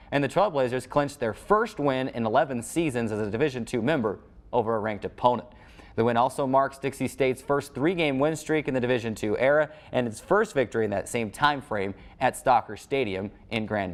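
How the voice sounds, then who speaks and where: An American man reading scripted sports highlights as the host of a show.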